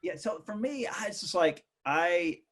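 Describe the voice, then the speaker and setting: A man chatting casually as a podcast guest, often thinking aloud in a hesitant, halting way. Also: sits high, expressive, fairly bright tone. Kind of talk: casual chat.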